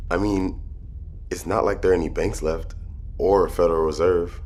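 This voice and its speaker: A man's voice: deep voice, fairly even intonation, moderate pace, a little mellow. A man playing a meek, hesitant junior sailor in an audio drama. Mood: timid. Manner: hesitant, deferential.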